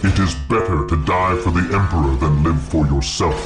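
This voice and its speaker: An actor playing a grim, battle-hungry armored warrior in a video game, grave and booming. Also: male voice, normal speed. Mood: grim, resolute. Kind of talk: video game unit voice acting. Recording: echoing, music behind.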